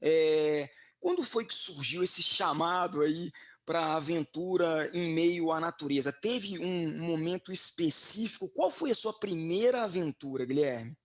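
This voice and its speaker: A man's voice: a little high, expressive, measured pace, hardly any pauses. Enthusiastic man hosting an interview. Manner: enthusiastic, encouraging. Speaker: podcast host. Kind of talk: interview questions.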